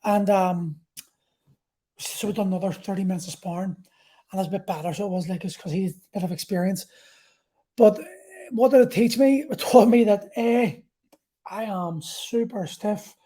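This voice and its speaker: A man's voice: high voice, normal speed, long pauses, dark tone. A man, talking casually and candidly about his own experiences as a podcast host and coach, rambling a little and swearing freely. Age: 40s. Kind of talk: casual solo talk about personal experience.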